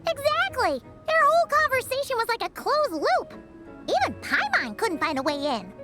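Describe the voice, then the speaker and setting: A woman voicing a small, childlike fairy companion in a video game, squeaky and exclamatory. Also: huge pitch swings, normal speed, over music, exaggerated voice. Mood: excited. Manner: refers to self in third person, exclamatory. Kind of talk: video game character acting.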